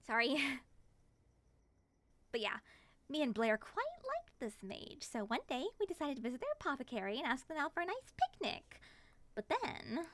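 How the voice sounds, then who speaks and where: A woman playing an excitable, affectionate moth monster girl in an ASMR roleplay, gushing and sweetly possessive. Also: sits high, normal speed, long pauses, no room sound.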